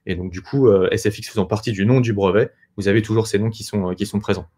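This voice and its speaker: A man's voice: lowish voice, fairly even intonation, quick pace, dark tone, husky. A young man giving an informal technical webinar presentation as a sales engineer, explaining conversationally with rhetorical questions over a video call. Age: young adult. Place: small indoor room on a video call.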